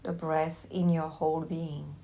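A woman teacher leading a guided meditation with gentle, unhurried instructions and long pauses. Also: low-pitched, flat delivery, creaky, poor audio.

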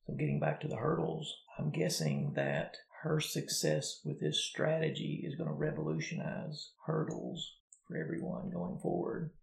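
A man's voice: a little high, lively intonation, normal speed, gravelly, roomy sound, low-quality recording. A man co-hosting a casual podcast, chatting and telling a story in a conversational way. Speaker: podcast co-host.

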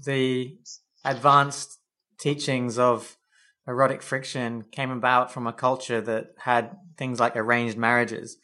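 A man hosting a conversational podcast interview, asking reflective questions in halting, thoughtful phrases. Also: medium pitch, flat delivery, sharp tone.

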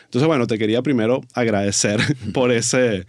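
A man's voice: mid-range voice, moderate pace, dry recording, clean studio sound. A man with a Venezuelan accent, hosting a podcast and chatting casually with a guest. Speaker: podcast host. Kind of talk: casual conversational interview.

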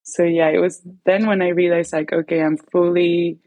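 A woman's voice: lowish voice, flat delivery, normal speed, mellow, no room sound. A young Venezuelan woman, a native Spanish speaker, chatting casually as an interview guest, rambling with lots of "like" and restarts. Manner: rambling, many filler likes and restarts. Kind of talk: casual conversation.

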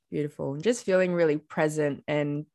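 A woman hosting a podcast, softly guiding a breathing exercise and asking her guest questions in a soothing way. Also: sits low, no echo. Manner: soft, slow, soothing. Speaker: podcast host. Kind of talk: guided breathing and interview questions.

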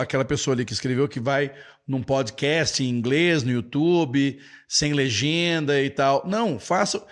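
A middle-aged Brazilian man with a Brazilian Portuguese accent, chatting casually as an English teacher who answers viewers' comments. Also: fairly high pitch. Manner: chatty, with many restarts and quick asides.

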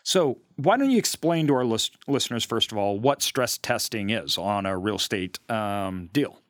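A man's voice: lowish voice, lively intonation, normal speed, no echo. Male podcast host, dry close sound. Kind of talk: casual interview hosting.

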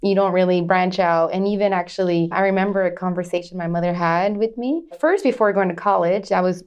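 A woman's voice: lowish voice, fairly quick, darkish tone, clean tone, muffled recording. A woman in her thirties from Arizona, telling her life story as an interview guest, chatty, laughing often and restarting phrases. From Arizona, USA.

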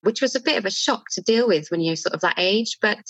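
A woman's voice: medium pitch, expressive, fast, fairly bright tone, dry recording, muffled recording. A woman from near Cardiff with a South Welsh accent, talking as a guest in a friendly, chatty way with some hesitation. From Wales, near Cardiff. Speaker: guest, chartered building surveyor. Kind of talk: casual podcast interview.

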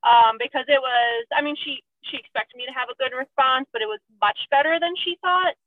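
A woman's voice: high-pitched, a little fast. A woman in her thirties, a surgical resident, talking candidly and self-mockingly in a casual chat. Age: 30s. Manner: chatty, self-mocking, often laughing. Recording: tinny phone line.

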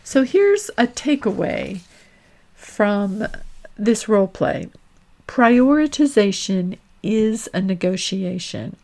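A woman career coach and host delivering an instructional monologue, laying out her points deliberately and with emphasis. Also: medium pitch, a little mellow.

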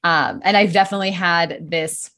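Chatty new mom, laughs a lot. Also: female voice, lowish voice, moderate pace. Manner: chatty, laughs often, lively emphasis. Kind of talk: casual conversation.

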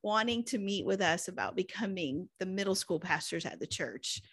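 A woman in her fifties tells her story in a chatty, animated way. She slips into quoted voices as she goes. Her pitch sits in the middle of the range. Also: normal speed, husky. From United States. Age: 50s. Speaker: pastor's wife and guest. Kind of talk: casual storytelling.

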